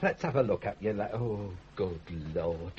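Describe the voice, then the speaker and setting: Hard-hearted, irascible brother: very rough husky voice, lively contemptuous swings, dull old radio drama recording. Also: male voice, on the low side, normal speed. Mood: scornful. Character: domineering, scornful, hard-hearted brother running the family business.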